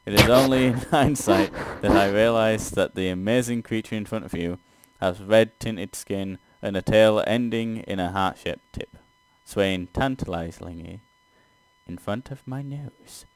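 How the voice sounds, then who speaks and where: A man's voice: fairly low pitch, measured pace, no echo, rough recording. A man doing a let's play, reading a text game aloud and reacting casually.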